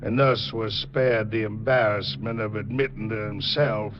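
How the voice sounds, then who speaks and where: A man's voice: fairly low pitch, fairly even intonation, unhurried, background noise, natural voice. A man with an American accent giving intense, theatrical scripted narration in a 1940s radio drama style. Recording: dull old 1940s radio recording.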